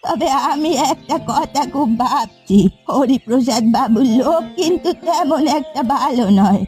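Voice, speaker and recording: Cartoon village granny actress: wary elder, high-pitched, mellow timbre, protective cautioning manner, music faintly behind. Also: female voice, measured pace. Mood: suspicious. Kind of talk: Bengali cartoon folk-tale dialogue.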